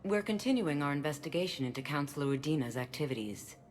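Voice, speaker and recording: Very low-voiced alien stateswoman. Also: expressive, a little mellow, slight background noise. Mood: grave, formal. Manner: formal, measured, diplomatic. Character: dignified, guarded female alien stateswoman. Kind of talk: video game dialogue. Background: music.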